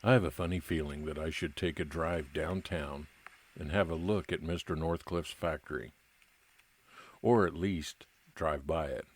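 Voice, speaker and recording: A man playing a weary, nostalgic private investigator, narrating an old-time radio detective drama in a measured, reflective way. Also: extremely low pitch, slightly rough, full character voice.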